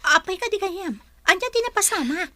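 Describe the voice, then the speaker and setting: A woman's voice: extremely high pitch, lively intonation, fairly quick, pushed and bright, full character voice. A woman playing a concerned elderly mother and grandmother in an Ilocano radio drama. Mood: curious, concerned.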